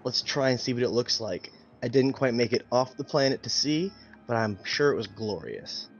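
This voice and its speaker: A man chatting casually as a tabletop game player, recapping his goals in a deadpan, clipped way. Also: medium pitch, moderate pace, highs cut off, soft background music.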